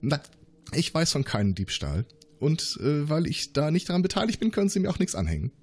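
A man's voice: a little high, sing-song, a little fast, darkish tone, husky, full character voice. A man voicing a paranoid male scientist in a tabletop game, nervous and contradicting himself. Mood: evasive. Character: paranoid male scientist, conspiracy-minded, evasive. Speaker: player voicing a suspect.

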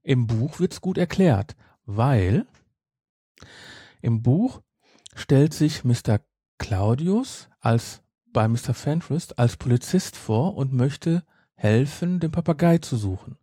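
Husky, mellow man explaining casually. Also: mid-range voice, lively intonation, slow, no room sound, studio quality. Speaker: podcast co-host. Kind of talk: casual fan discussion.

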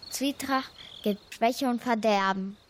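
A woman narrating a scripted fable in a measured, didactic reading manner. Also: fairly high pitch, slight background noise. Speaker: storyteller in a radio fable.

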